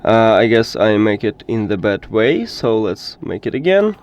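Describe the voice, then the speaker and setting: A man presenting an unscripted step-by-step tech tutorial in simplified non-native English, halting often with filler sounds. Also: on the low side, very animated, darkish tone, dull audio. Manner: hesitant, halting delivery with frequent filler sounds. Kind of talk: unscripted step-by-step how-to narration.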